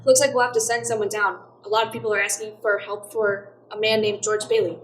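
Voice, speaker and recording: A woman playing a brisk, knowing angel who guides and narrates in a radio play. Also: mid-range voice, little pitch movement, a little hiss or hum, close to an everyday voice. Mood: dry, reporting. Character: brisk, knowing assistant angel guiding a newcomer.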